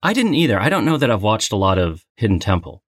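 A man's voice: on the low side, very animated, fast talker, no echo, studio quality. A man co-hosting a casual, playful quiz with banter. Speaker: co-host and quiz player.

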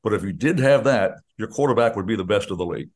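Older sports radio guy, lowish voice, rambling folksy football chat, lots of uh's. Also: animated, fast talker, a little mellow. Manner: folksy, digressive, many ums and restarts. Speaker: radio play-by-play announcer.

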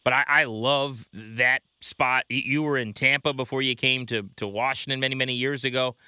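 A man with a mid-pitched, extremely bright and forceful voice hosts a sports interview. He talks casually, and the sound is clean and very dry, close and free of room. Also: steady tone, normal speed, clear, resonant tone, no room sound. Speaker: sports show host and interviewer.

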